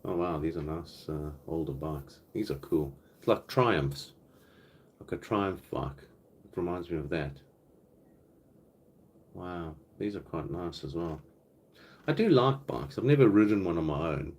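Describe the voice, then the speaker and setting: A middle-aged man with a South African accent, hosting a casual live Q&A stream from home. He chats in a relaxed, rambling way and reads out viewers' comments as he goes. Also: low-pitched, unhurried, long pauses. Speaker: livestream host. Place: home, indoors.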